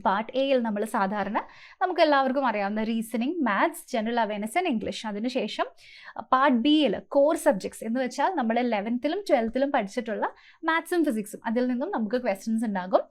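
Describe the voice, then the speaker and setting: A woman speaks in a somewhat high-pitched voice. She explains things step by step as a coaching presenter would, in an instructive way. English terms are mixed freely into her sentences. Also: animated, a little fast. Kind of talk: explanatory presentation to camera for exam aspirants.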